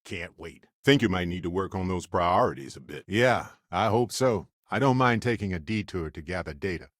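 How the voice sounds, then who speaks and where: A talkative, genial explorer and scientist from a space video game. His voice sits a little low but moves in big, lively swoops, with a wry, theatrical delivery. The sound is close and dry. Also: normal speed, dry recording. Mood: teasing, conversational. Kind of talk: video game character voice lines.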